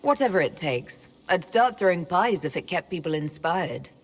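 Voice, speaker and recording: An actress playing a composed, dryly amused woman commander in a video game, speaking in a measured, understated way. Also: lowish voice, expressive. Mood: wry. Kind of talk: video game character acting.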